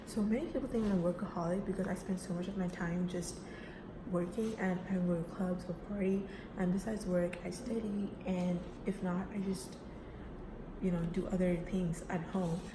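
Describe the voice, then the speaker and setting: Young woman from the UAE, relaxed class talk, full of fillers, slightly low voice, recorded in a noisy echoing room. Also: moderate pace. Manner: casual, chatty, with frequent fillers like "right?" and "you know". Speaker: student giving a class speech. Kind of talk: personal self-introduction speech. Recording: echoey room with background noise.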